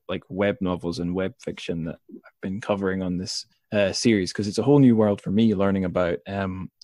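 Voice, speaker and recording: A man hosting a podcast interview in a casual style, thinking aloud in a halting way. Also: fairly low pitch, warm tone, no echo, studio quality.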